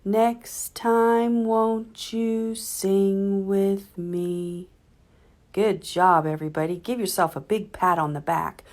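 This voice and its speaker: A woman leading preschoolers through the alphabet song, drawing out each letter clearly and then praising the children. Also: medium pitch, fairly even intonation, a little mellow. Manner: drawn-out, clearly paced, encouraging. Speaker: children's librarian leading a sing-along. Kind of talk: slow alphabet sing-along for preschoolers.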